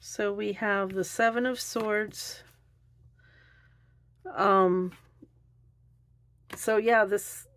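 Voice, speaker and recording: Slow, gravelly-edged woman reading tarot. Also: mid-range voice. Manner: hesitant, halting delivery with musing pauses. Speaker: tarot reader. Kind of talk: unscripted card reading, thinking aloud.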